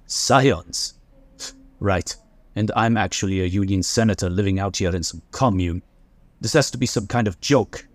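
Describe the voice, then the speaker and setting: A man's voice: fairly low pitch, moderate pace, no room sound, lightly acted. A man playing a blunt, sarcastic, hostile father in a sci-fi audio drama. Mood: suspicious, dismissive. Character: defiant, gruff father in a space commune.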